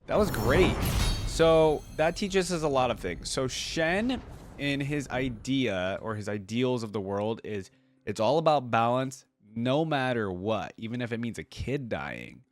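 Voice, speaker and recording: A man giving casual reaction commentary as a video host, informal and thinking out loud. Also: mid-range voice, animated, unhurried, slight background noise. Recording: music behind the voice. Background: game cinematic and music playback.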